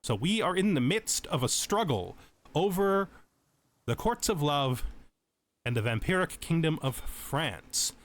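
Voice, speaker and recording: A man giving tutorial-style game commentary and reading lore aloud in a measured, explanatory, storytelling way. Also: a little high, very animated. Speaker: let's play host.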